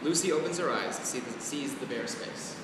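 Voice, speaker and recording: A man reading out stage directions as scripted narration at a staged play reading. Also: fairly quick. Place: large reverberant indoor performance space, far mic. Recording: very echoey hall, distant mic, rumbling noise.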